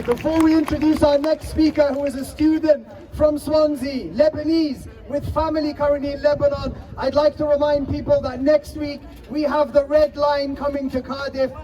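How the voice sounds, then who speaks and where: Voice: high-pitched, dark tone. A rally organizer making practical announcements and introducing the next speaker at an outdoor protest. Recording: distant outdoor mic with crowd.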